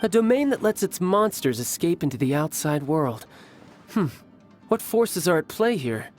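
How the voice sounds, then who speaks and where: A man playing a suave young warrior in an anime game, smooth, theatrical and playfully teasing. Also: a little high, big pitch swings, normal speed, a little mellow, soft background music. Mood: curious, genial.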